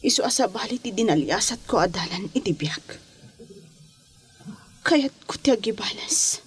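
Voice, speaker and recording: A wounded woman voiced by an actress in a melodramatic audio drama, speaking at a medium pitch. She is bitter and vengeful, yet resigned to her fate. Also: expressive, normal speed, close to an everyday voice. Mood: bitter, regretful.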